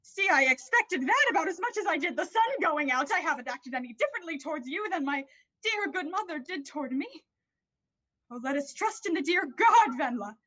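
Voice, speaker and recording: A woman's voice: high-pitched, animated, fairly quick, pushed and bright, muffled recording. A woman playing an anguished, pleading mother in a dramatic reading of an old stage play. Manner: emotional, imploring, repeats herself. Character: anguished, evasive, pleading mother of a teenage girl.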